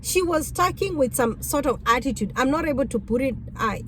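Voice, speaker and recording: A woman with a Kenyan accent, whose first language is Kikuyu, telling stories about being a rideshare driver in an animated, exclamatory way. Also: high-pitched, fairly quick, slight background noise. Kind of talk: casual storytelling vlog.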